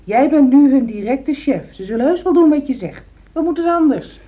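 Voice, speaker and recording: A woman's voice: high-pitched, expressive, brisk. An actress in a Dutch radio play, playing a pragmatic, ambitious housewife who insistently reassures and backs her husband. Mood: reassuring, insistent. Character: loyal, ambitious middle-aged housewife, pragmatic, supportive of husband. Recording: dull old radio recording.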